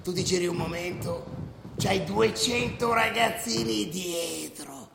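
An actor playing a fervent, proud football ultra in a radio drama, speaking emphatically and colloquially with bursts of shouting. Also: male voice, high voice, slow, vocal fry, noisy background, exaggerated voice. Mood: fervent pride. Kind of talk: theatrical radio drama monologue. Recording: echoey reverberant room over loud crowd.

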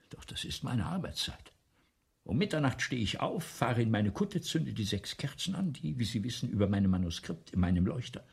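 Ailing, vain writer in radio drama: husky, gravelly, halting, theatrical. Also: male voice, lowish voice, exaggerated voice. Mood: indignant, sarcastic. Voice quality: raspy, breathy, gravelly. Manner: theatrical, halting, repeats himself, swinging between whispers and outbursts. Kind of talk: German radio play acting.

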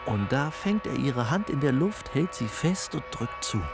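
A man's voice: mid-range voice, very animated, a little slow, creaky. A man narrating a literary radio play, telling the scene like a story. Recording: music under the voice.

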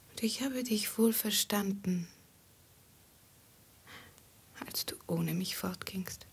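Breathy, whispering woman in love. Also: lowish voice, exaggerated voice. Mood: hurt, resigned. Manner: slow, hushed, intimate delivery. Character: devoted woman in love, fearless and quietly proud. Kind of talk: radio drama acting.

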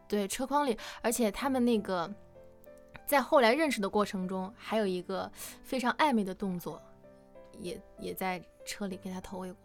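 A woman's voice: medium pitch, normal speed. A woman hosting a casual fan quiz in a chatty, conversational way, leading the questions. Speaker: quiz host. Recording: music behind the voice.

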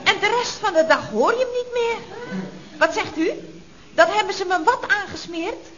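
Een actrice die een babbelende, plagerige secretaresse speelt in een radiokomedie uit de jaren zeventig, met theatraal opgewekt getater. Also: female voice, extremely high pitch, expressive, moderate pace, fairly bright tone, some chatter behind. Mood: chatty, amused. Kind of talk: 1970s radio comedy acting. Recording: dull vintage radio recording, audience laughter.

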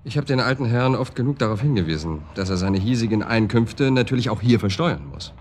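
A formal, hedging property manager played by a male actor in a radio drama. The voice is low and polite. Faint ambient noise sits behind it. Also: expressive, fairly quick, close to an everyday voice. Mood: courteous, uneasy. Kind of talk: naturalistic German radio drama acting.

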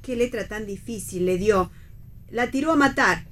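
An actress plays a middle-aged Argentine mother in a radio play. She is chatty, kindly and a little naive, with a medium-pitched woman's voice. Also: normal speed, fairly bright tone, natural voice. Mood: chatty, fond. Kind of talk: read radio theatre.

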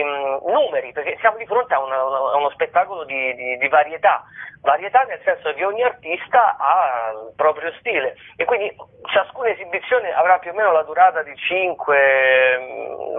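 A man with a fairly high voice comes through a narrow, telephone-like radio sound. He talks informally as a guest performer, circling back over his words and often adding little tag questions. His delivery is halting and rambling. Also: very animated. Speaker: guest, comedian and stage performer presenting his shows. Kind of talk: informal radio interview talk.

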